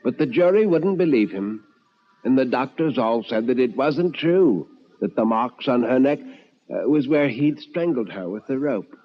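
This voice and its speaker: A man voicing a plain-spoken woman who insistently and pityingly defends a condemned gentleman in a radio ghost story. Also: medium pitch, expressive, measured pace, dull audio.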